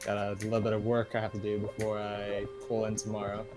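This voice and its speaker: A man's voice: lowish voice, monotone, quick pace, over music. A young man talking casually as a co-player in a gaming video. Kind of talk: casual chat.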